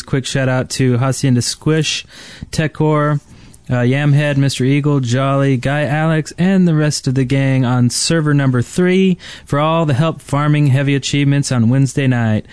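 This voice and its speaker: A man's voice: mid-range voice, darkish tone, clean tone, no room sound. A man hosting a podcast, chatting casually. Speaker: podcast host.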